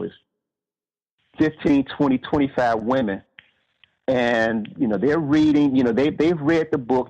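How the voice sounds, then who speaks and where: Man in a halting, dull-sounding interview. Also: mid-range voice, gravelly, darkish tone. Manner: halting, repeats words while thinking. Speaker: author being interviewed. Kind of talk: conversational interview, telling anecdotes.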